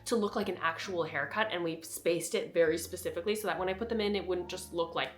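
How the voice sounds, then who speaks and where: A woman's voice: lowish voice, fast, soft background music. A young woman with a cold and a slightly nasal voice, chatting candidly and casually in a beauty vlog full of filler words. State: congested from a cold. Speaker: beauty vlogger. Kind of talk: casual talking-head vlog.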